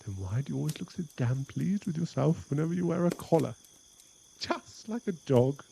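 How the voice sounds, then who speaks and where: A man's voice: fairly high pitch, expressive, normal speed, creaky, mellow, slight background noise. A man voicing a possessive, condescending vampire in an ASMR boyfriend roleplay, mocking one moment and sweet-talking the next. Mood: haughty, mocking.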